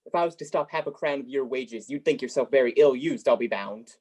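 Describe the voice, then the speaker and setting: A man's voice: mid-range voice, a little fast, darkish tone, slightly rough, close to an everyday voice. A man acting a grumpy, miserly old man in a radio play, blustering and grumbling.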